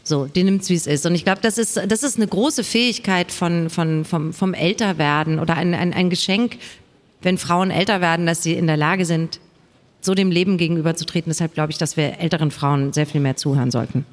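A woman author speaking conversationally as a panel guest on stage, reflective and halting, often repeating words as she thinks. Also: on the low side. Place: live stage at a book fair.

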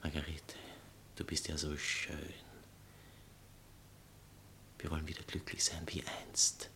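An actor playing a pompous, theatrical writer and former lover, declamatory and sarcastic in a stage satire. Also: male voice, very deep voice, monotone, moderate pace, sharp tone, whispered. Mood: wooing, intimate. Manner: declamatory, sarcastic, self-important. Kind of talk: stage satire acting in literary German.

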